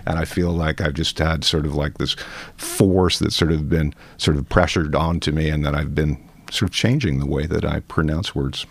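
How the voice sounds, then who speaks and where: A man in his thirties, born in Ireland with a Canadian accent, chatting as an interview guest in a relaxed, thoughtful way with many fillers. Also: extremely low pitch, normal speed, vocal fry, no echo. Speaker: poet guest. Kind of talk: casual interview conversation.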